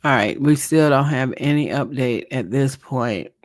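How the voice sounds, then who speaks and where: A man's voice: medium pitch, measured pace, no room sound. A man hosting a live stream, chatting casually and thinking aloud with a congested, nasal voice. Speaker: livestream host. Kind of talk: live commentary on a court trial.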